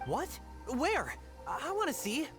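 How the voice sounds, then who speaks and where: Brief: an actor as an earnest young game hopeful, bright-eyed and eager, voice swings widely. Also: high-pitched, wildly swooping pitch, a little slow, exaggerated voice. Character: eager young man seeking legendary weapons. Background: game music.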